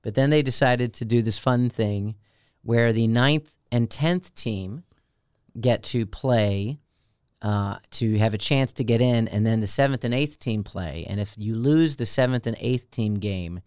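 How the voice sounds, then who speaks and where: Clear-voiced tenor man chatting casually. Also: a little slow, darkish tone, no echo, studio quality. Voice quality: high tenor voice. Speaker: radio show co-host. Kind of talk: casual chat.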